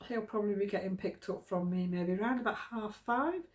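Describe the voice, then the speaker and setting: A woman's voice: mid-range voice, a little fast. Older woman, home vlogger, stuffy cold, chatty and homely. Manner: chatty, homely, plain-spoken. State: congested with a cold. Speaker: vlogger, craft shop owner. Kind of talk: casual chat to camera. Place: home kitchen, indoors.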